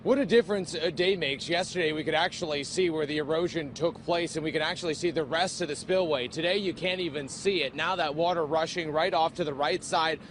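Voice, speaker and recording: An American man delivers a TV field report in a fairly high voice. He is recorded outdoors, with a faint rumble of noise behind him. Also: fairly quick, hardly any pauses, fairly bright tone. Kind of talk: live broadcast news report. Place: outdoors near a dam spillway.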